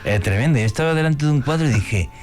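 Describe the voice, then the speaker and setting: A man's voice: mid-range voice, fast talker. A man hosting a casual radio chat, talking with enthusiasm and slang. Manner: enthusiastic, slangy, animated storytelling. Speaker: radio host.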